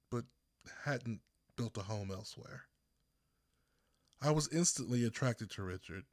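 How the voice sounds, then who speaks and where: A man from Texas narrates a first-person story in a mid-pitched voice. He reads slowly and deliberately, leaving pauses between phrases. The recording is dry, with hardly any room sound. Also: no echo. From Texas, United States. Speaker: author reading own audiobook.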